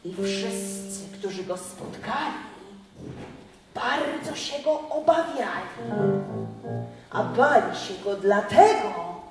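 A woman telling an improvised fairy tale on stage in a dramatic, drawn-out, theatrical way. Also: mid-range voice, animated. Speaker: storyteller. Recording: very echoey room, loud music. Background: loud instrumental music.

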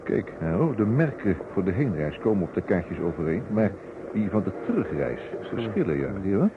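A methodical police inspector in a vintage radio drama. He speaks low, in a businesslike, amiable way. The recording is tinny, with clear noise. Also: sing-song, moderate pace. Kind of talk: old Dutch radio drama acting.

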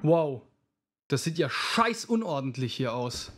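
A man's voice: fairly high pitch, big pitch swings, measured pace, heavy background noise. A man acting a young student in an audio drama, a teasing but concerned friend who talks colloquially. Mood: puzzled, uneasy. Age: young adult. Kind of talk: naturalistic audio drama dialogue.

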